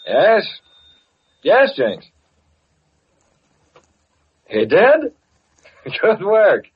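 Husky, commanding radio-drama hero. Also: male voice, on the high side, exaggerated voice. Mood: assured. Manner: commanding, assured. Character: masked male vigilante who is secretly a newspaper boss. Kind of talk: 1940s radio acting. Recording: muffled vintage radio recording.

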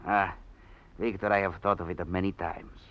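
A man playing a proud, protective old violin maestro in radio drama, speaking haltingly and repeating words. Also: low voice, level tone, slight background noise, close to an everyday voice. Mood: proud, resolute. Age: older. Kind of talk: 1940s radio drama acting. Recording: dull old radio recording.

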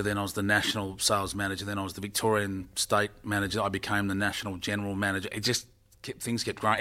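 A middle-aged man talks casually as an interview guest. His voice sits a little low, and he rambles, often stopping to correct himself partway through a sentence. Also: sharp tone. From Australia. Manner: rambling, self-correcting storyteller.

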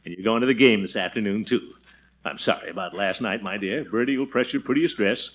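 A man's voice: on the low side, a little fast, breathy edge. A man playing a pompous, fussy middle-aged uncle in 1940s radio comedy style, chuckling and theatrical. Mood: doting. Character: blustery, fussy middle-aged uncle guarding his teenage niece. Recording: noisy, muffled old radio recording.

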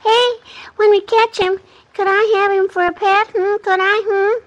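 A woman's voice: extremely high pitch, flat delivery, measured pace. A woman playing an eager, mischievous little girl, pleading and inquisitive, in a 1950s radio comedy.

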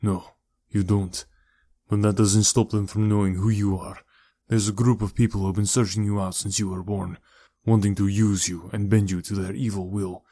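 A man playing a grave, weary, aging uncle in an audiobook, protective and regretful, with a subdued, measured delivery. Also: lowish voice, little pitch movement, dark tone, no echo. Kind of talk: audiobook character dialogue.

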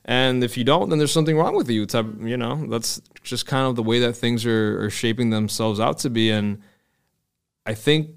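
Clear-voiced American man chatting candidly. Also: medium pitch, normal speed. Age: early 30s. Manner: candid, swears casually. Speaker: podcast host. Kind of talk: casual conversational chat.

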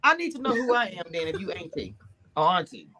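A woman's voice: medium pitch, wildly swooping pitch, brisk, a crowd in the background. A woman in her forties bantering casually in a group chat, teasing and playfully challenging. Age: 40s. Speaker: panelist on a TV recap livestream.

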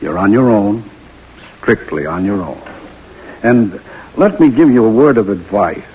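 Commanding officer for vintage radio play: husky, terse, authoritative, dry wit, dull lo-fi sound. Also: male voice, mid-range voice. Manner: brisk, terse, authoritative, dryly sardonic. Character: stern, dry, commanding army major briefing subordinates. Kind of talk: old-time radio drama acting. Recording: muffled vintage radio recording.

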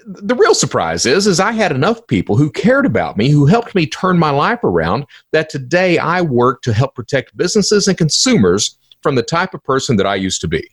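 A man's voice: a little high, sing-song, normal speed, breathy edge. A middle-aged man delivering an informal solo podcast monologue as host, in a theatrical, folksy and emphatic manner with rhetorical questions.

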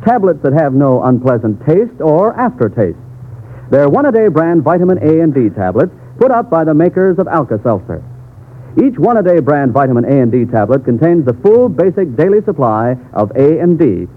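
A man's voice: fairly high pitch, phone-quality sound. An American man reading a scripted 1940s radio advertisement, earnest and persuasive. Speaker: commercial announcer. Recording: thin, band-limited vintage radio broadcast.

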